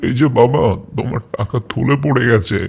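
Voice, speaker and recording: Man doing a slow, pleading old beggar. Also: medium pitch, vocal fry, slight background noise, natural voice. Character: frail, hungry old beggar, meek and pleading.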